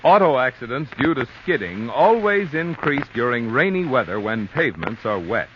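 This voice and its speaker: A man's voice: medium pitch, very animated, normal speed. A man reading a scripted commercial as a 1940s radio announcer, with emphatic sales delivery. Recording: noisy muffled vintage radio recording.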